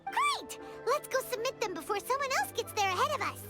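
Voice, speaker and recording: A woman voicing a small, excitable childlike fairy companion in a video game, squeaky, eager and chirpy. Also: a little fast, over loud music, heavily acted.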